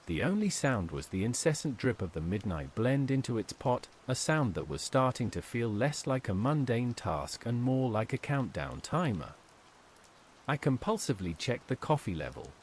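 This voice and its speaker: A male narrator tells a first-person horror story with tense, suspenseful drama. His mid-pitched voice rises and falls widely from phrase to phrase. A light layer of rain noise runs behind him.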